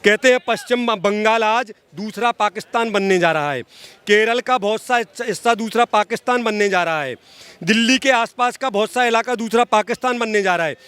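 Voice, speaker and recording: Very high-pitched man, emphatic. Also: a little fast, fairly bright tone, smooth, no echo. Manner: emphatic, repeats phrases, addresses listener directly. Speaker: social activist being interviewed. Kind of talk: impassioned opinionated political commentary.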